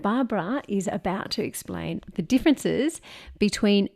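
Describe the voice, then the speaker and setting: A woman's voice: mid-range voice, expressive, normal speed, creaky, a little mellow. An Australian woman podcast host reading an upbeat scripted episode introduction.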